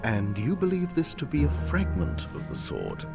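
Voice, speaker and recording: An actor playing a polite, dryly deferential butler with a formal manner in a video game. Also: male voice, a little high, huge pitch swings, moderate pace, creaky, over loud music, exaggerated voice. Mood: inquiring.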